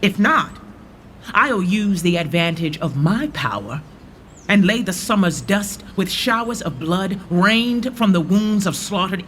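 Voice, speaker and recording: Bold rebel lord, radio verse drama. Deliberate, formal, forceful delivery over light ambient noise. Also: male voice, high-pitched. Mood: resolute. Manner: declamatory, measured verse delivery. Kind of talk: Shakespearean radio drama acting. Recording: faint background noise and ambience.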